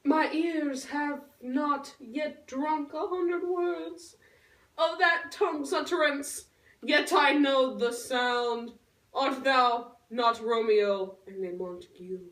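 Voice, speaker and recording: A lovesick, dramatic teenage girl in a puppet play , voiced by a woman declaims verse in a very high voice at a slow pace. The room echoes heavily around the distant voice. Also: fairly bright tone. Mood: wondering, anxious. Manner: slow, theatrical declaiming with big swells. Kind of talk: Shakespeare recited for a school puppet show. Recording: echoey room, far from the mic.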